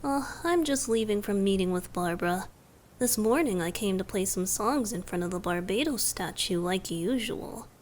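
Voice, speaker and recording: A woman voicing a playful, tender young male bard god in a romantic ASMR roleplay, soft and breathy, often whispering and gently teasing. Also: mid-range voice, lively intonation, moderate pace, slight background noise, close to an everyday voice. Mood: cheerful, casual.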